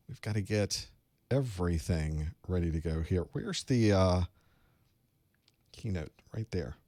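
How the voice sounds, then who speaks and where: A man's voice: low voice, warm tone, no echo. A man hosting a live online study group, chatting casually and greeting viewers with light jokes from a studio room. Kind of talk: casual live-stream chat and instruction. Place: home studio room with electronics.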